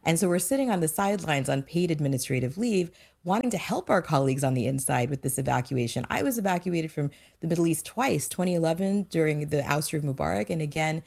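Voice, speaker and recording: Low-voiced woman, earnest panel guest. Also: lively intonation, fast talker, vocal fry, a little mellow. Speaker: former diplomat, panel guest. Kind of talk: impassioned first-person account on a panel.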